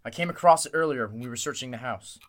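A man's voice: medium pitch, quick pace, a bit bright, natural voice. An actor playing a tense, protective man in the style of an old-time radio drama. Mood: resolute. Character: Clint, tense protective man searching in fog.

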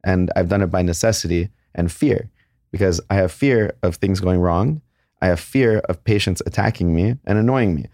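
Man, deep voice, blunt and candid, casual interview talk, dry close sound. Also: normal speed, a little mellow, no room sound. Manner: blunt, candid, with crude jokes and laughs. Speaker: plastic surgeon.

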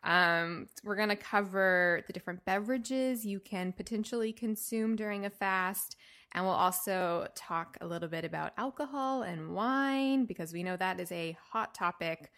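A woman's voice: medium pitch, normal speed, fairly bright tone. A woman hosting a podcast in casual chat, bubbly, laughing often and filling gaps with ums. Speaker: podcast host.

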